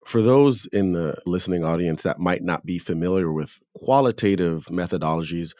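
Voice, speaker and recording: A man hosting a scholarly podcast interview, asking long, measured questions. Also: low voice, darkish tone, no room sound, clean studio sound.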